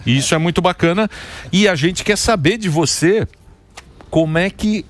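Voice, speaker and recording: A man's voice: high voice, normal speed. A man hosting a radio talk show, introducing his guest and asking questions with enthusiasm. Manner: lively, enthusiastic interviewer.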